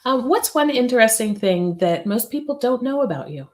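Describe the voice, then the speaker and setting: A woman's voice: fairly high pitch, expressive, normal speed. Female host, upbeat and welcoming, conversational live interview delivery. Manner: upbeat, welcoming, brief acknowledgments. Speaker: podcast host.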